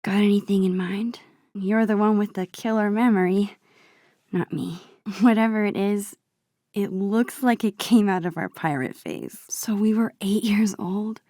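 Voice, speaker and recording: A woman voicing a nerdy, gentle teenage girl in a video game, soft-spoken and often breathy, with a wry, slightly awkward, nostalgic way of teasing her best friend. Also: medium pitch, measured pace, no room sound, clean studio sound, lightly acted. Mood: amused, nostalgic. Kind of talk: naturalistic video game dialogue acting.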